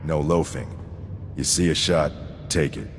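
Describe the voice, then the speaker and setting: Low voiced hard-nosed game sergeant, clipped orders, big echo and some noise. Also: male voice, unhurried, soft background music. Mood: stern, commanding. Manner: clipped military delivery, reciting figures coldly. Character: stern, unflappable male army sergeant who demands discipline. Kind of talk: video game cutscene acting. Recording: echoey hall, noisy ambience behind.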